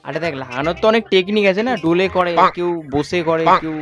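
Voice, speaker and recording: A man's voice: sits high, fast talker, music in the background. A young man in casual, teasing video-host talk, mixing in English phrases. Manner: informal, mixes in English phrases. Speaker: prank call video host. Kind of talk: casual chat to viewers and teasing phone prank.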